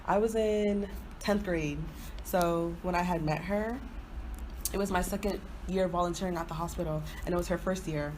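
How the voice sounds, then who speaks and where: Young woman, early twenties, slightly low pitch, informal slangy podcast storytelling, noisy amateur recording. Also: fairly even intonation, normal speed, darkish tone. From Houston, Texas, not raised there from birth. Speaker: podcast co-host. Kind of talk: casual chatty storytelling.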